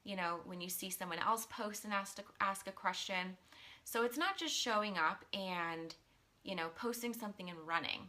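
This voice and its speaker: A woman in her thirties, a coach, talking casually in a live stream, earnest and chatty with many ums, and a little hoarse from losing her voice. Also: medium pitch, expressive, moderate pace, pushed and bright. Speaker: mindset and business coach. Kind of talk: casual live-stream talk, reading viewer comments.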